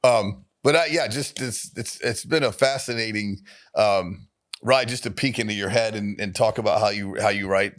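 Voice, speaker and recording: A man's voice: lowish voice, normal speed, fairly bright tone. A man who hosts a podcast and writes songs, interviewing a friend in a chatty, friendly way and laughing often. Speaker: podcast host, songwriter. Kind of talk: casual interview.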